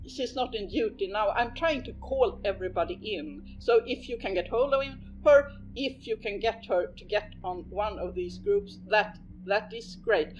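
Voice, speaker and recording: A man's voice: sits high, a little hiss or hum, natural voice, rough recording. Man playing a stammering, harried cop. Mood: urgent. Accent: Swedish. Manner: stammering, hurried. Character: harried male police officer on the phone.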